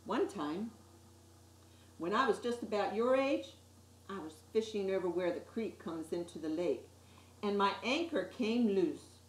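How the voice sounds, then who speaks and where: A woman reading a children's picture book aloud as an expressive storyteller, with little asides to her listeners. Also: mid-range voice, a little slow, slight background noise. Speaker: story time reader. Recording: echoey room.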